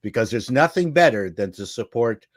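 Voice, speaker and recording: A man's voice: mid-range voice, animated, normal speed. A man speaking as a guest in casual panel chat.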